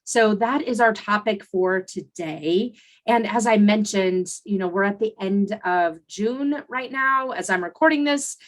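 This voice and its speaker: Woman podcast host, mid-pitch, chatty solo coaching delivery, voice just back after laryngitis. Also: measured pace. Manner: conversational, with fillers and restarts. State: recovering from laryngitis.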